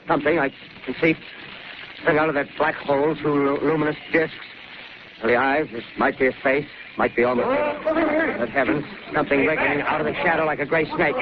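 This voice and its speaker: An actor playing an on-the-spot radio reporter in a 1930s radio drama, talking in urgent, halting live commentary. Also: male voice, a little high, steady tone, lightly acted. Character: excitable on-the-spot radio reporter, adult man. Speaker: field reporter. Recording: dull, hissy 1930s radio broadcast.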